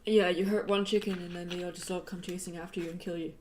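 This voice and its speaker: A woman's voice: on the low side, fairly even intonation, quick pace, darkish tone, slight background noise. A woman in casual, conversational chat, the kind heard on a livestream. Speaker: artist hosting a livestream.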